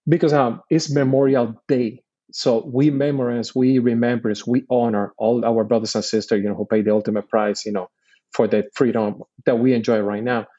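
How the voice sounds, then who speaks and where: A man's voice: medium pitch, steady tone, moderate pace, warm tone, husky, muffled recording. A man in his forties from Puerto Rico, a podcast guest and Army veteran who explains things earnestly and patiently in casual talk. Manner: earnest, explains patiently with many "you know" fillers.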